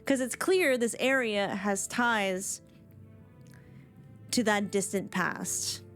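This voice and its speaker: A woman's voice: mid-range voice, slow pace. A woman streamer giving casual live reaction commentary on a game, chatty and musing aloud. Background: game music.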